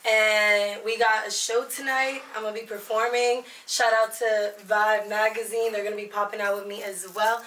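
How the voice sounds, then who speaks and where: A young woman from Virginia who grew up speaking both Spanish and English. She is a hip hop and R&B artist talking casually at a lounge venue, in a relaxed and upbeat way with plenty of slang. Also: mid-range voice, normal speed, hardly any pauses, recorded from a distance, slight background noise. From Alexandria, Virginia. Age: young adult. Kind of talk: casual interview. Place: busy lounge venue before a show. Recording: roomy venue sound, from off-mic.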